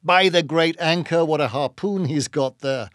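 A gruff old sea captain, acted out by a male narrator, blustering and hearty in a storybook reading. He is bossy and salty, and his voice is lifted above a plain speaking pitch in a close, dry sound. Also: moderate pace, dry recording, natural voice. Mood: hearty, excited. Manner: loud hailing, salty nautical exclamations. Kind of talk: dramatised audiobook reading.

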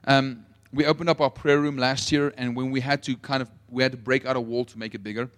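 A young South African man preaching a sermon, telling a story with swings between loud emphasis and quiet asides. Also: mid-range voice, fairly even intonation, fairly quick. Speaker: pastor.

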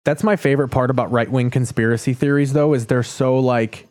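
A man's voice: medium pitch, steady tone, fairly quick, darkish tone. A man in his thirties co-hosting a casual podcast chat. He swears freely, mocks what he talks about, and slips into silly voices. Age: 30s. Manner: profane, mocking, puts on silly voices. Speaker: podcast co-host.

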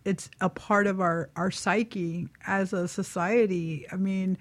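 A woman's voice: medium pitch, steady tone, slow, no echo. A woman speaking earnestly and emphatically in a casual radio discussion, repeating phrases for emphasis.

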